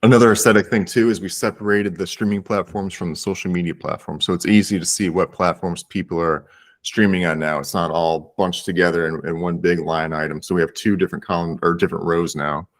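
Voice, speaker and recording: A man from the platform's team talks over a video call in a relaxed, conversational way. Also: sits low, fairly quick. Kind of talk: casual work chat on video call.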